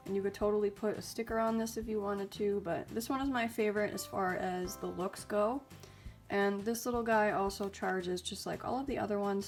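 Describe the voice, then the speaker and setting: A young woman hosting a casual vlog, reviewing gadgets in a chatty, upbeat way with lots of ums and likes. She sounds a bit raspy and stuffy because she is getting over a cold. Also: medium pitch, darkish tone. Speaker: YouTube host reviewing mini printers.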